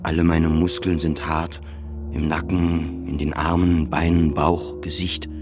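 Deep-voiced man an anguished, confessional narrator. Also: little pitch movement. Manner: confessional, plain, halting short phrases. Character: anguished, self-mocking depressed father, a psychiatric patient. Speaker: narrator and main character. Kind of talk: introspective first-person radio play narration. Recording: music under the voice. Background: prominent music.

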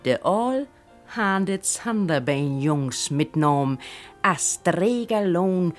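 A woman with a Northern German Low German accent telling a first-person literary story expressively. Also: lively intonation, takes it slowly, faint music behind.

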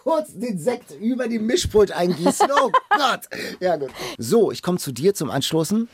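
Lively man, playful podcast chat. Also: high-pitched, moderate pace. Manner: playful, animated. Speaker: podcast co-host. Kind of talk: casual chat. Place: radio studio.

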